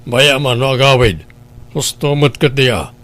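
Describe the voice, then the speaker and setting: An actor playing a stubborn, defiant husband and brother-in-law in an Ilocano radio drama. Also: male voice, mid-range voice, unhurried, a bit bright, natural voice.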